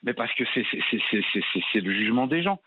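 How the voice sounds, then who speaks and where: A man pours out an impassioned, indignant testimony at a rapid clip. His voice comes through a muffled, lo-fi phone line. He sits at a middling pitch for a man. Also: expressive. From France. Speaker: caller. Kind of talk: radio call-in testimony.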